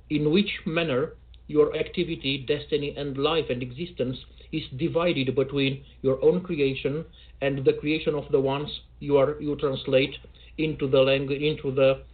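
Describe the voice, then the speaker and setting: A man hosting a poetry show over a video call, speaking in a measured, formal way with dry self-mockery. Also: a little high. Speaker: host. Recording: tinny call audio.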